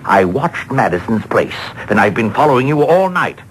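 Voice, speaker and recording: Old-time radio villain: armed, gloating, menacing, taunting, with swooping intonation; slightly noisy vintage broadcast tape. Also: male voice, medium pitch, sing-song, a bit bright. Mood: smug, gloating. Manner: deliberate, taunting. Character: smug, menacing armed male killer, coolly gloating. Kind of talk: 1940s radio drama acting. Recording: home-taped old radio broadcast, faint noise.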